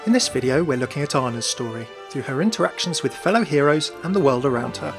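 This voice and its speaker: A man's voice: medium pitch, animated, fast, gravelly, music in the background. A man hosting and narrating a scripted explainer in a video series.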